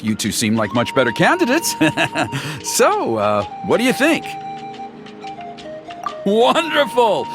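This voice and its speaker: A man's voice: high-pitched, full character voice. A man playing an exasperated, gossipy male ceremony organizer who grumbles and sighs. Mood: eager.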